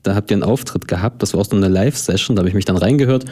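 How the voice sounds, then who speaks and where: Mellow low-voiced male radio host. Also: racing. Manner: easygoing, chatty questions with fillers. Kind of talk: radio interview. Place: radio studio.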